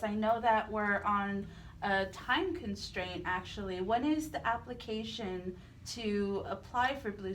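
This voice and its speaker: A woman's voice: medium pitch, low-quality recording. A woman hosting a talk radio interview, addressing guests and listeners. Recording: clear background room noise.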